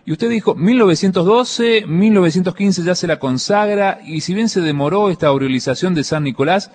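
A man hosting a religious radio interview, speaking haltingly with many pauses and restarts. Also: high-pitched, a little mellow. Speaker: radio host.

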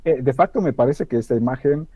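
Italian man, halting Spanish full of fillers, mellow dark tone, dry sound. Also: medium pitch, slightly rough, no room sound. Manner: hesitant, halting, many fillers, mixes in Portuguese and Italian words. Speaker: guest, mission radio project manager.

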